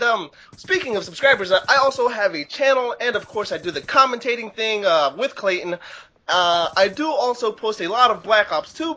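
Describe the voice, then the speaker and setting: A man's voice: high-pitched, bright, forceful tone. A young man talking as a guest gaming commentator in a casual, rambling, slangy way. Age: young adult. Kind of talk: casual chat.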